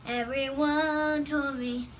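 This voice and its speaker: High-pitched, manic singing cartoon creature. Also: steady tone, takes it slowly, fairly bright tone, soft background music. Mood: storytelling. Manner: zany, singsong, bursting into wordless whooping.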